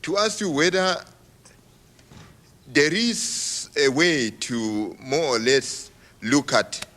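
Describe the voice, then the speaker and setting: A man from Cameroon asking a question from the audience at a public talk, halting with many restarts and laughing as he talks. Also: medium pitch, sharp tone. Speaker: audience member. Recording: reverberant hall.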